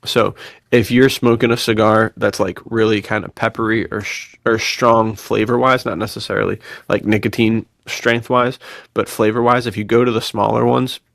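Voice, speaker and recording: A man's voice: lowish voice, flat delivery, moderate pace, creaky, a bit bright, no room sound, studio quality. A man chatting casually as a podcast co-host, enthusiastic and full of bro slang. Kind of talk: casual chat.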